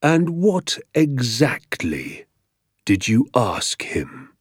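Storybook schoolmaster: military bully, barking and menacing, contemptuous, highly animated, dry close sound. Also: male voice, breathy edge, dry recording, heavily acted. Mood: scornful, stern. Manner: barking, menacing, drawn-out emphatic delivery.

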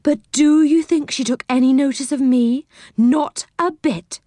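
A woman voicing a lively, put-out female friend in a storybook, chatty and exasperated. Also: high voice, measured pace, no echo, clean studio sound, voice pushed up.

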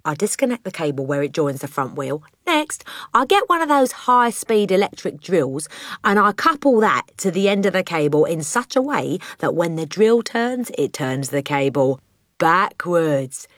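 A woman's voice: lowish voice, big pitch swings, normal speed, dry recording, studio quality. A woman voicing a boastful, bullying car-dealer father in a children's storybook, with swaggering, emphatic, slangy delivery. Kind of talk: children's audiobook character voice.

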